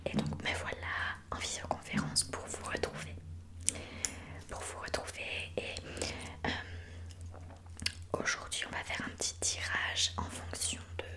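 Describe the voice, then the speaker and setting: A woman playing a mystical female fortune teller in a whispered ASMR roleplay, speaking slowly and soothingly and calling listeners darling. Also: close to an everyday voice.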